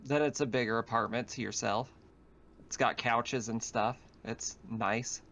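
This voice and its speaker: A man's voice: medium pitch, steady tone, unhurried, gravelly, fairly bright tone. A man acting as game master in casual chat, explaining things and joking along. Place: online voice call. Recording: dull lo-fi voice chat.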